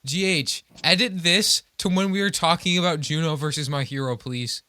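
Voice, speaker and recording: A man with a fairly high voice co-hosts a podcast. He talks in a casual, conversational style, recorded dry with no room sound. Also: normal speed, sharp tone, clear, resonant tone, no echo. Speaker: podcast co-host. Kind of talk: casual chat.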